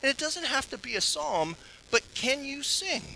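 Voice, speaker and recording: A man pastor giving an earnest sermon that ends in prayer, swinging between strong, raised passages and low, soft ones. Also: big pitch swings, moderate pace, gravelly, a little hiss or hum, poor audio.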